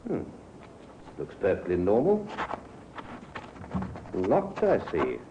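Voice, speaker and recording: An actor playing a shrewd, dry, seasoned police inspector in an old radio crime drama, on a muffled, hissy recording. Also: male voice, fairly low pitch, huge pitch swings, exaggerated voice. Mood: genial. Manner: measured, dryly sceptical.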